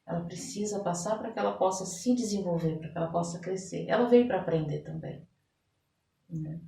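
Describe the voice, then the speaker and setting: A woman's voice: fairly low pitch, a little fast, creaky, dark tone. A Brazilian woman speaking as an interviewed guest, explaining things conversationally and repeating phrases for emphasis. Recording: roomy echo, distant microphone.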